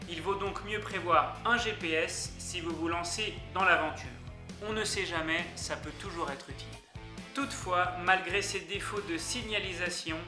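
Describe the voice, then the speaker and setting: Young guy youtuber, high voice, cheery narration, music behind. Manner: upbeat, direct address to viewers. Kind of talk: scripted travel narration. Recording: echoey room with music underneath.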